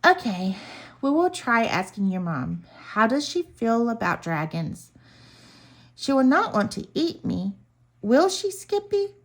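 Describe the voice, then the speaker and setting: Storybook baby dragon, young male, polite, worried and hesitant, lively intonation female reader acting it out. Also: on the high side, a little slow, exaggerated voice. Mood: anxious.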